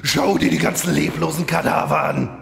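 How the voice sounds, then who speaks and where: Casting: male actor for boastful fantasy boss, extremely gravelly, irritable, big hall reverb, parody radio play. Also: steady tone, normal speed, a crowd in the background. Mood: indignant boasting. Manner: boastful, indignant. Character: vain, irritable raid boss villain. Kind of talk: parody audio drama acting. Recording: long hall reverb, rumbling noise.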